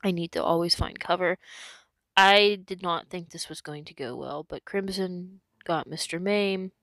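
A woman's voice: on the low side, a little slow, fairly bright tone, no room sound. A woman who is sick and losing her voice, explaining her moves as she commentates her own gameplay. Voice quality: hoarse. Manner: explanatory, talks through her decisions.

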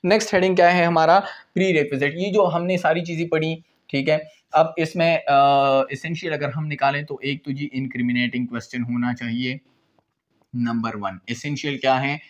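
A man's voice: fairly high pitch, steady tone, moderate pace, highs cut off. Young Pakistani man giving an explanatory lecture. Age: 20s. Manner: explanatory, mixes in English legal terms. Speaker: law lecturer. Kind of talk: informal whiteboard lecture. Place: small indoor room with whiteboard.

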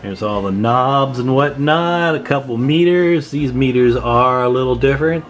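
A man giving a casual, informal hands-on demonstration as a hobbyist, unhurried, recorded on a handheld camera in a room at home. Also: medium pitch, soft background music. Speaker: CB radio hobbyist showing his equipment. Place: indoor room at home with radio gear. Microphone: handheld camera microphone, speaker off screen.

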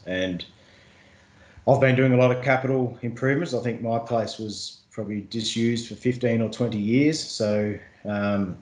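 A man speaking casually as a farmer on a panel, answering in a halting way with repeated words. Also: mid-range voice, darkish tone. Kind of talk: casual question-and-answer talk. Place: indoors on a video call. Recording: roomy video-call echo.

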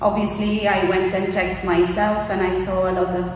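A woman's voice: medium pitch, little pitch movement, normal speed, slight background noise. A woman in her thirties from Bulgaria with a Bulgarian accent, a non-native English speaker giving an earnest interview testimonial as a course graduate. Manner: earnest, with frequent fillers and repetitions. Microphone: camera mic from a distance. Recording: echoey room, voice from a distance.

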